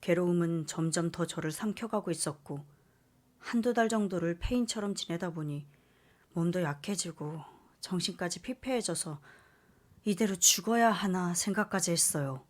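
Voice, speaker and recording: A woman narrating a scripted first-person story with an expressive, dramatic delivery. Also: lowish voice, normal speed.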